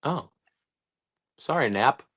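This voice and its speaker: Man, co-host, playful banter, very animated pitch, bright forceful voice. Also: slightly rough. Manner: bantering, quick laughs. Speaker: podcast co-host. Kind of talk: casual chat.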